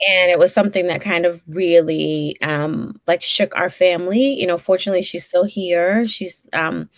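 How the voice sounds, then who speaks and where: Woman, 40s, Brooklyn accent, lower voice, dry close sound; frank guest therapist. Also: fairly even intonation, normal speed, no echo. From Brooklyn, New York. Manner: frank and down-to-earth, many fillers and restarts. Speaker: licensed clinical social worker, guest. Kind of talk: casual interview conversation.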